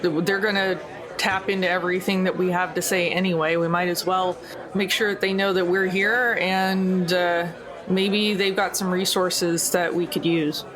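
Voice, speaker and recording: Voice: medium pitch, level tone, normal speed, crowd noise. A player in a tabletop role-playing game, decisively planning the group's next moves in casual chat.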